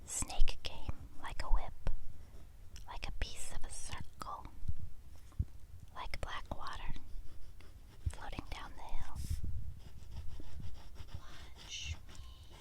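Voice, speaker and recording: An American woman whispering gently and breathily in a soothing, unhurried ASMR style, as a host narrating and reading aloud. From Southern California, United States. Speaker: ASMR art channel host. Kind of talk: soft-spoken ASMR narration and poetry reading.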